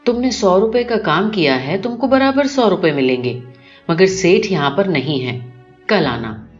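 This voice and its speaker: A woman narrator voicing a bald old office manager in a storybook reading, gentle, silky-polite and reassuring. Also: lowish voice, expressive, natural voice. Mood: kindly, reassuring. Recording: dull lo-fi recording with music behind.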